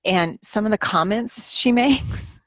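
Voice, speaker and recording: A woman chatting casually as a podcast panelist, in a halting, conversational manner. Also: medium pitch, no room sound, clean studio sound. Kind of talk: casual chat.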